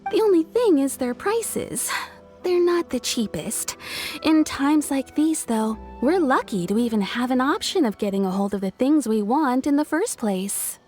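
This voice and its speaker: A woman's voice: high voice, expressive, measured pace, darkish tone, soft background music. An actress playing a friendly, courteous female kimono shopkeeper. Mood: helpful. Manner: courteous, helpful.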